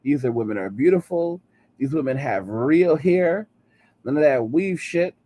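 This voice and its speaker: A man's voice: on the high side, lively intonation, measured pace, warm tone. A man in his late thirties hosting a commentary channel, ranting heatedly and repeating "all right?" between points. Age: 30s.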